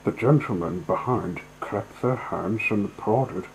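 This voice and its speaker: A man's voice: fairly low pitch, a little slow, vocal fry, slight background noise, rough recording. A man narrating a storybook as a storyteller, reading from a scripted text.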